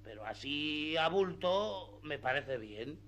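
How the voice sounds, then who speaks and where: A man's voice: a little high, animated, takes it slowly, fairly bright tone, full character voice. A man playing a simple, outspoken, good-hearted peasant squire in a radio drama, rustic and deferential yet cheeky. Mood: sheepish, stubborn. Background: faint background music.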